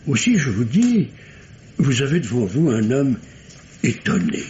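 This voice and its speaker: An actor playing a solemn, wordy male doctor in a vintage radio mystery, with pompous, deliberate, literary delivery. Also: mid-range voice, sing-song, dark tone. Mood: grave, indignant. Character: formal, verbose, solemn male country doctor. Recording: dull, noisy old radio recording.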